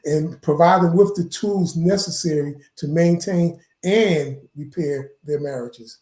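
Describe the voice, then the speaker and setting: A man's voice: on the high side, a little slow, a little mellow. A man giving an earnest, instructive explanation in a conversational way, as a guest educator. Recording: echoey room sound.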